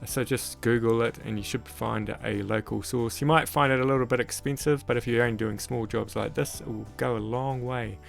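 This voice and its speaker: Croaky gravelly guy talking thru a carving how-to, laid back, rambly, soft music under it. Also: mid-range voice, fairly quick, few pauses. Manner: relaxed, rambling, lots of "sort of, like". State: croaky voice after illness. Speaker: woodcarving channel host. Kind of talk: casual voice-over tutorial.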